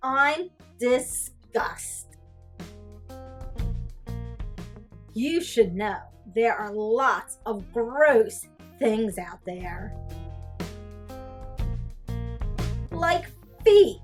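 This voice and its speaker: Woman doing a sassy grossed-out cartoon girl for a storybook, high n swoopy, really drags it out, disdainful. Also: very animated, soft background music, heavily acted. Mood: disgusted. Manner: exaggerated, drawn-out, disdainful. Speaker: teacher reading a picture book aloud. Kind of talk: animated children's storybook read-aloud.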